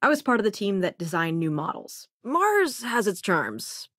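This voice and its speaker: A woman's voice: mid-range voice, big pitch swings, normal speed, fairly bright tone, dry recording, studio quality. An actor, a young woman, voicing a clever, wry and self-assured renegade scientist who crews a spaceship in a video game. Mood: matter-of-fact.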